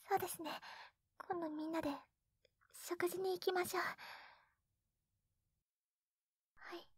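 Woman acting a very high-pitched, doting young wife. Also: no room sound, studio quality. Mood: sheepish, hushed. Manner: hesitant, soft, breathy, clingy. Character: sweet, tipsy, jealous young wife doting on her husband. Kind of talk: binaural ASMR roleplay, intimate. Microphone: close binaural dummy-head microphone.

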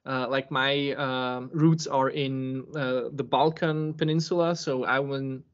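A man, hosting a podcast interview over a video call and pausing often with ums and restarts. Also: mid-range voice, steady tone. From Serbia. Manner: hesitant, many ums and restarts. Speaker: podcast host. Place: home room with bookshelf. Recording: muffled video-call audio.

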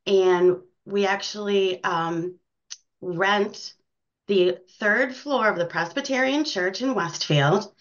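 A woman's voice: mid-range voice, takes it slowly. An American woman chatting casually as an interview guest about her work in community theater. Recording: dull, lo-fi sound.

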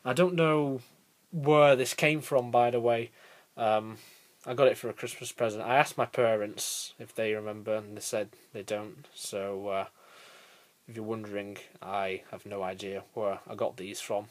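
A man hosting an informal review, speaking in a halting way with pauses in mid-sentence. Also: lowish voice, fairly even intonation. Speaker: host of a toy review channel. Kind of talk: informal scripted-free review narration. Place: indoors, small carpeted room.

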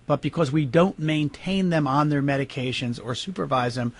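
An American man answering as a radio interview guest, halting and repeating words as he stresses his points. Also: on the high side, fairly even intonation, husky. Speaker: interview guest, county prosecutor.

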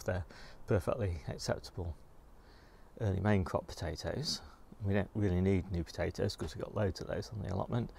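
A middle-aged man narrates casually as he walks around outdoors. His voice sits fairly low, with a noticeable gravelly creak. He sounds understated and unscripted, musing aloud over faint open-air noise from a handheld recording. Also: a little mellow. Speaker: gardener giving a garden tour. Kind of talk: casual unscripted vlog narration while walking. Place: outdoors in a home garden. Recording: clear outdoor background noise.

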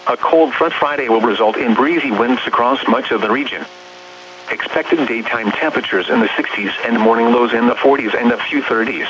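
A synthetic man's voice reading an automated weather bulletin in a measured, impersonal way, as a radio announcer. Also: mid-range voice. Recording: hummy, muffled weather radio reception. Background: radio hum and noise.

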